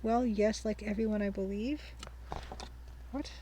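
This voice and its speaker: A young woman hosting a game playthrough, chatting casually and thinking aloud as she reads her notes slowly. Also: medium pitch, fairly even intonation, mellow, a little hiss or hum. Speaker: let's-play host. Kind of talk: casual let's-play commentary.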